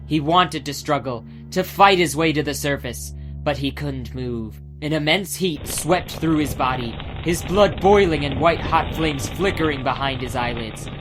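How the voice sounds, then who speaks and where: A man's voice: fairly high pitch, moderate pace, few pauses, poor audio. A man giving a scripted, dramatic narration of a fantasy audiobook. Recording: music behind the voice.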